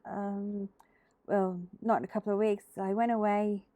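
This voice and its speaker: A woman's voice: medium pitch, level tone, measured pace, mellow, dry recording. A middle-aged Australian woman hosting a casual crafting video, chatting hesitantly with lots of ums and sounding slightly throaty from a cold. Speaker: craft channel host. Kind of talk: casual crafting tutorial chat.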